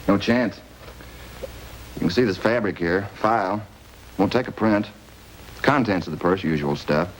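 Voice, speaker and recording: A man's voice: sits low, a little slow, pauses often, a little hiss or hum, rough recording. An actor playing a methodical male police lab technician in a 1950s radio crime drama, talking plainly through the evidence. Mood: matter-of-fact.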